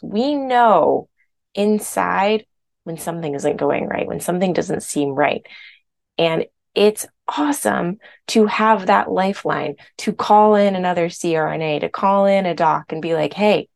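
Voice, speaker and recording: A woman's voice: on the low side, gravelly. Froggy-voiced woman podcast host. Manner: chatty, candid, uses filler words. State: hoarse, recovering from strep throat. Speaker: podcast host and weight loss coach. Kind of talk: casual podcast monologue.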